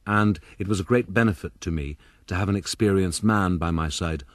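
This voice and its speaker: Male actor as gentleman memoirist, low pitch, measured literary storytelling, radio drama. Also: no echo, clean studio sound, natural voice. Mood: reflective. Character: older Irish gentleman recounting his youthful adventures. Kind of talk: radio drama first-person narration.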